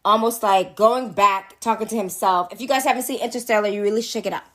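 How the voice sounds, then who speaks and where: A woman's voice: mid-range voice, quick pace, a bit bright, soft background music. A woman co-hosting a casual podcast while drinking, joking and swearing as she talks about a series. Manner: playful, swearing, sings and jokes around. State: tipsy from drinking. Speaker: podcast co-host. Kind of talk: casual chat recapping a series.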